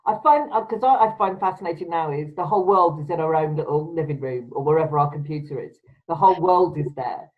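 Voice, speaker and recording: A woman, hosting a casual video-call chat, emphatic and quick to laugh. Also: sits low, phone-quality sound. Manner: chatty, laughs often, emphatic. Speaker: podcast host.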